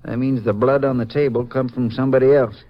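An actor playing a plainspoken, cooperative small-town Texas sheriff with a hesitant, folksy manner, in 1950s radio style. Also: male voice, medium pitch, fairly even intonation, a little fast, no echo, close to an everyday voice. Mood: earnest, puzzled. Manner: hesitant, plainspoken, drawling rural phrasing. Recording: muffled, noisy 1950s radio recording.

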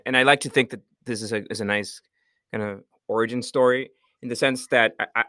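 Bright-voiced Costa Rican founder, casual. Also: male voice, medium pitch, no echo, clean studio sound. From Costa Rica. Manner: rambling, self-correcting, laughs often. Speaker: startup founder and CEO. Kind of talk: casual interview answers.

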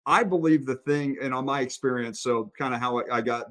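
A man's voice: medium pitch, a little fast, a bit bright. A man hosting a podcast, laying out his views in a thoughtful, conversational way. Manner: thoughtful, lays out opinions at length. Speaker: podcast host. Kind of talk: conversational interview.

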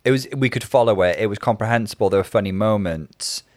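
Dry, measured man, close and dry sound. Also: on the low side, darkish tone, no room sound. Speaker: podcast co-host reviewing a drag competition. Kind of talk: casual chat.

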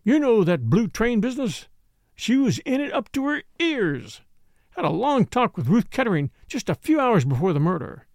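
A man's voice: high voice, big pitch swings, normal speed, a little mellow, no echo, clean studio sound, voice pushed up. A man playing a naive, tactless society man who chatters in clipped, gleeful slang, in an audiobook.

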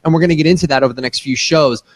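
A man hosting a business podcast, talking in an energetic, emphatic way and often repeating words for stress. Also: fairly high pitch, rapid-fire. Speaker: podcast host.

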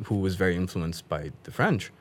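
A man's voice: low-pitched, normal speed. A man whose first language is Dari, a guest in a casual chat, talking with animation and frequent laughter. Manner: animated, laughs often, slips into put-on voices.

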